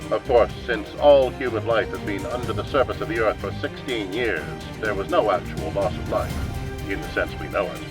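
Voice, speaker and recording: An actor playing a grave, indignant propaganda newscaster, declaiming pompously in an old-time radio drama. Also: male voice, on the low side, normal speed, close to an everyday voice. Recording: distant mic under loud music. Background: music.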